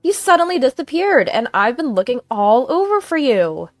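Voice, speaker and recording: A woman's voice: sits high, big pitch swings, normal speed, no echo, soft background music, pitched above the performer's own voice. A woman voicing an outwardly sweet, bossy daughter-in-law in an audio story, with an insistent, falsely friendly manner. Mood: scolding, insistent.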